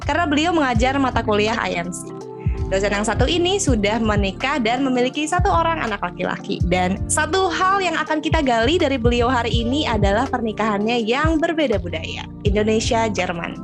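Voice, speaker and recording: Young woman, podcast host, chatty and upbeat, slightly high voice, music under her. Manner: upbeat, chatty, addresses listeners directly. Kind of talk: casual podcast interview.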